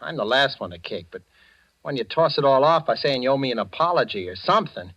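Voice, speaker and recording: A man's voice: mid-range voice, sing-song, quick pace, a bit bright. An actor playing a bitter, wounded young man in an old-time radio drama, proud, guarded and terse. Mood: sullen, resentful. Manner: terse, brooding. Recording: dull, lo-fi vintage radio recording.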